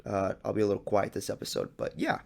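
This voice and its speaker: A young man hosting a casual solo podcast, his voice a little hoarse and scratchy, rambling easily with lots of "uh"s and restarts. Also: fairly low pitch, fast talker. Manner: relaxed rambling with many fillers and restarts. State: hoarse, slightly scratchy from coughing. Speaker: podcast host.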